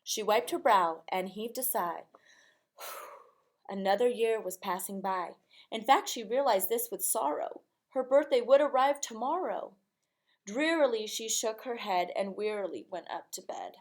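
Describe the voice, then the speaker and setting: A woman reading a rhyming picture book aloud expressively, in a measured sing-song rhythm. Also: a little high, lively intonation.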